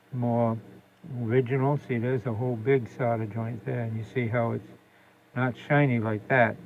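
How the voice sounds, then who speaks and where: A man talking casually through a hands-on repair demonstration, halting as he thinks aloud, recorded on a phone camera. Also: mid-range voice. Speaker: radio repair hobbyist. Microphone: phone camera propped near the workbench. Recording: lo-fi phone recording with faint noise.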